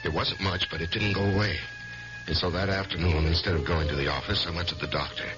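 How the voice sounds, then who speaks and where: An American actor playing an uneasy, guilt-haunted middle-aged lawyer in a 1970s radio drama. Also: male voice, low voice, steady tone, fast talker, close to an everyday voice. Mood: brooding, confiding. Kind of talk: 1970s radio drama acting, first-person narration and dialogue. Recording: dull vintage radio recording with music.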